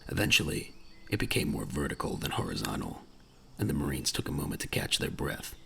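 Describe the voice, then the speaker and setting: A man with a deep, gravelly voice reading dramatic scripted narration in a deliberate, suspenseful way. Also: huge pitch swings, pushed and bright.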